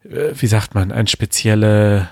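Low-voiced man, easygoing podcast co-host. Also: a little slow, warm tone, no echo, studio quality. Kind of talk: casual chat.

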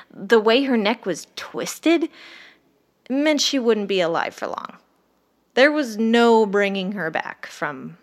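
An actress playing a driven, guilt-haunted ER doctor in an audio drama, speaking in a deliberate, confessional way. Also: female voice, mid-range voice, a bit bright. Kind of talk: audio drama acting, first-person narration and dialogue.

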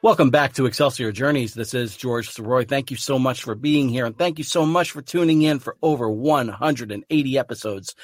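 A man's voice: medium pitch, no echo, studio quality. Earnest, rambling male podcast host. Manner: earnest, rambling with restarts and laughs. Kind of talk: casual podcast chat.

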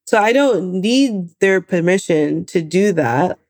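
A young woman from California shares a personal story in a lively, animated way. Her voice rises and falls a lot as she relives the moments. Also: lowish voice, takes it slowly. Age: 20s. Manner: lively, animated retelling with laughs. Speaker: guest.

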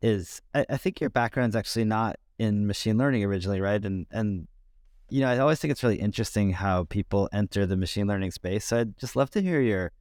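A male interviewer with a somewhat low voice asks long, easygoing questions with open enthusiasm. The sound is close and dry, with no room echo. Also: fast, a little mellow. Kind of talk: casual interview.

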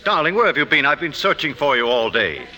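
Earnest suitor, lively intonation. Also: male voice, fairly high pitch, fairly quick. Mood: anxious. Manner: earnest, dramatic, insistent. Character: earnest, wounded, insistent male suitor. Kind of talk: 1950s radio drama acting.

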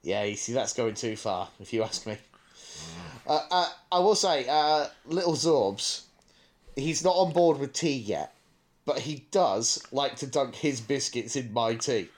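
A man's voice: mid-range voice, lively intonation, unhurried. A man co-hosting a podcast in casual banter, with a wry, mock-serious way of talking. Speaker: podcast co-host.

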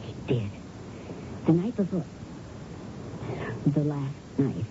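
Low-voiced, earnest policeman's widow. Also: very animated, takes it slowly, full character voice. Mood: earnest, remembering. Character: earnest bereaved wife of a policeman. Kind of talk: 1970s radio drama acting. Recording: muffled noisy radio broadcast with rumble.

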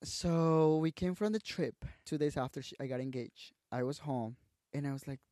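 A man's voice: on the high side, moderate pace, dark tone, no echo, clean studio sound. A young man from Mexico with a Mexican Spanish accent, speaking English as a second language, telling his story candidly and emotionally as a podcast guest, swearing freely and calling the host "bro". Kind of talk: casual storytelling interview.

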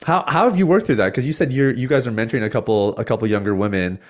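A man's voice: medium pitch, fast. A man in his thirties hosting a podcast, talking candidly and thoughtfully, searching for his words as he goes. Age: 30s. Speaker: podcast host. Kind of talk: candid conversational interview.

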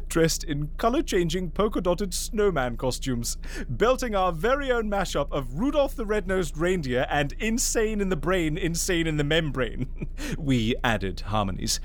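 An actor playing an excitable, scatterbrained and playful schemer in a comedy audio drama, giggly and theatrical. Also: mid-range voice, unhurried, a little hiss or hum. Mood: gleeful scheming.

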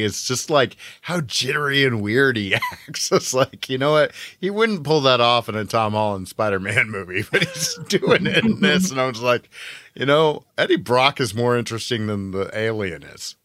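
Animated guy co-host, bright punchy voice, sardonic and jokey, just chatting. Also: medium pitch, normal speed, dry recording, clean studio sound. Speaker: podcast co-host. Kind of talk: casual pop culture chat.

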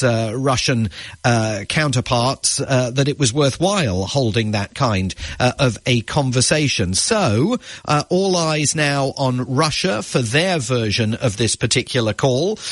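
A man reporting live as a radio news correspondent, with measured, emphatic phrasing. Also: medium pitch, fairly bright tone, no room sound.

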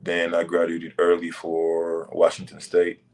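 A young man from Senegal, a basketball prospect, answering interview questions in loose, relaxed phrasing over a video call. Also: deep voice, measured pace. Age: young adult. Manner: relaxed, loose phrasing with many "like" and "you know".

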